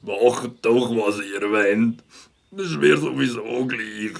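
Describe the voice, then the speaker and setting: A man voicing a grumpy, rude animal bar owner in a children's audio drama, gruff and gravelly, with a Swiss accent. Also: mid-range voice, takes it slowly. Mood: sullen, dismissive. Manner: gruff, dismissive.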